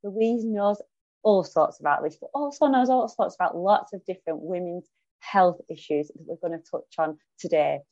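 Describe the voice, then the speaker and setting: A woman's voice: fairly low pitch, normal speed, darkish tone, no echo. A woman with a broad Lancashire accent hosting a podcast interview, chatty and enthusiastic, with frequent ums. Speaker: podcast host. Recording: dull lo-fi sound, dry, faint music.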